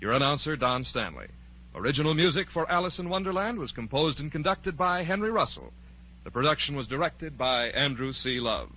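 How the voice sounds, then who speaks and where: A man's voice: fairly high pitch, lively intonation, fairly quick, noisy background. A man with an American accent reading formal, polished announcements in the style of 1940s network radio. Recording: 1940s radio broadcast recording.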